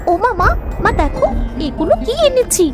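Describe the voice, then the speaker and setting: A woman's voice: extremely high pitch, animated, moderate pace, loud music behind the voice. A woman voicing an eager, stubborn little village girl in a folk-tale cartoon, insistent and childlike.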